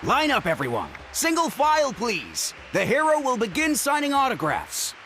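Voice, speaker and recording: An actor playing a polite, brisk young man who announces and keeps order in a video game cutscene. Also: very high-pitched, huge pitch swings, pushed and bright, slight background noise, full character voice. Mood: businesslike.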